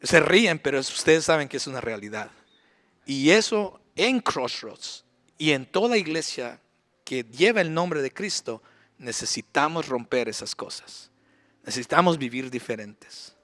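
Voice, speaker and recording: A man's voice: medium pitch, lively intonation, unhurried, pushed and bright. A middle-aged male pastor preaching a sermon in a church, emphatic, with rhetorical questions. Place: church sanctuary.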